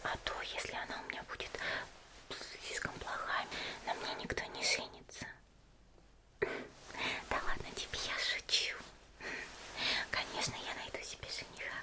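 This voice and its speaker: A fully whispered, breathy female voice of a woman acting as a cheerful young demon slayer in an ASMR spa roleplay. She is gentle and caring, chatting softly and unhurriedly with small hesitations, as if pampering the listener. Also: unhurried, pauses often, lightly acted. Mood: cheerful, chatty.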